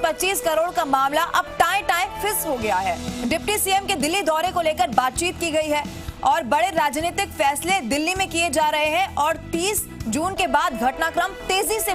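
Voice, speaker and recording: Female Indian news anchor, very high voice, formal broadcast delivery over a light music bed. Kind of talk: broadcast news reading and questioning. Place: TV news studio.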